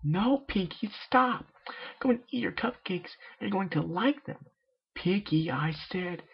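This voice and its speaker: A man's voice: high-pitched, lively intonation, takes it slowly, gravelly, slightly rough, voice pushed up, heavily acted. A man voicing a flustered, awkward young female cartoon pegasus pony with hesitant, stammering speech, on a muffled home recording. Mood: flustered, pleading.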